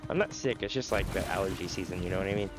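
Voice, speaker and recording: A man's voice: deep voice, racing, over music. Nasal-sounding man streaming a game. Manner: casual, explanatory, chuckles often. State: sore throat, nasal. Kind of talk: live gameplay commentary.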